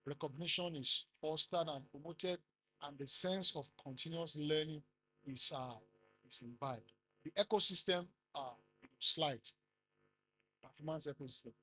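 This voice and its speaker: A middle-aged man with a Nigerian accent giving a business seminar talk, rising loudly for emphasis and quizzing the audience. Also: fairly high pitch, unhurried, a little hiss or hum, poor audio. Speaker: conference speaker.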